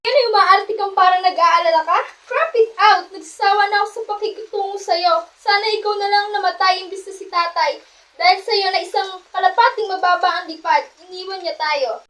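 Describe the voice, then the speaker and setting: A woman as a defiant, shrill teenage daughter. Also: brisk, fairly bright tone. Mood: angry, bitter. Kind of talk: amateur radio drama acting.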